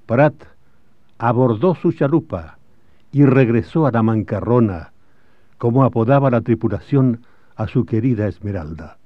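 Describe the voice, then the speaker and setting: Measured male storyteller on muffled recording. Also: medium pitch, animated, dry recording. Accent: Chilean. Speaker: radio drama narrator. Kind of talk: scripted dramatic historical narration. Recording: dull old radio drama recording.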